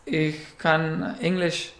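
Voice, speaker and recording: A man from Afghanistan with an Afghan accent, whose first language is Pashto, answering interview questions in a halting way with many fillers. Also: a little high, flat delivery, gravelly, fairly bright tone, echoey room. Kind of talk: casual interview.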